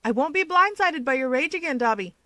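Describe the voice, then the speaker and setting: Cocky winged young hero played by a female reader, higher pitched, emphatic, taunting, storybook dialogue, dry sound. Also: animated, a little fast, sharp tone, no room sound. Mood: angry, accusing.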